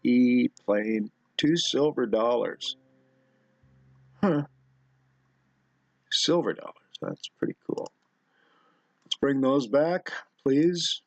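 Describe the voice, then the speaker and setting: A middle-aged man giving rambling off-the-cuff commentary in a halting, musing way, as if talking to himself at his computer. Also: mid-range voice, very animated, vocal fry, no room sound, lo-fi sound. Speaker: video host. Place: indoor room at a computer.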